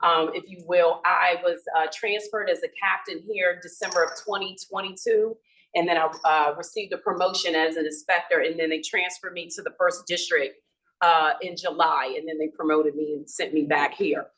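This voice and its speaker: Woman, police commander, lowish voice, loose and chatty, no mic, picked up from a distance. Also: steady tone, moderate pace, fairly bright tone, slight background noise. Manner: informal, chatty, with many restarts and fillers. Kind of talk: community meeting talk. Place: community meeting room. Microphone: no microphone, from across the room.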